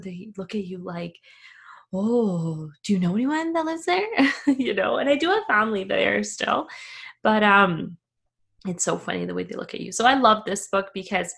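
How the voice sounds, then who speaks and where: Chatty woman podcast host with vocal fry. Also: medium pitch, fairly bright tone. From Afghanistan. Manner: chatty, reflective, many fillers and restarts. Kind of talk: casual podcast monologue. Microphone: camera video, facing the screen.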